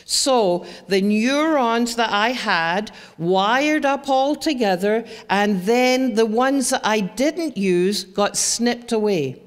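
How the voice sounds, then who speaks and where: A woman's voice: on the high side, expressive, takes it slowly, hardly any pauses. A woman with a Scottish accent gives a chatty educational talk to parents and often asks the audience questions. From Scotland. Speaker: presenter. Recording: long hall reverb.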